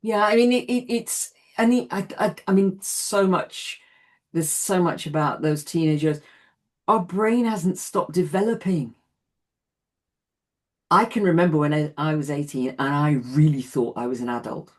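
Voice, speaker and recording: A woman's voice: deep voice, unhurried. A woman teaching an online class over a video call, reassuring and often affirming with "yeah". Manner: reassuring, repeats "yeah", self-deprecating laughs.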